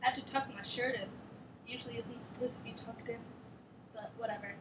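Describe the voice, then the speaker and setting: A woman's voice: a little high, fairly even intonation, a little fast, noisy background. A young woman from Switzerland chatting casually to camera in a rambling, filler-heavy way, sounding strained while doing a headstand. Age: young adult. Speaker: vlogger. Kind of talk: casual chat.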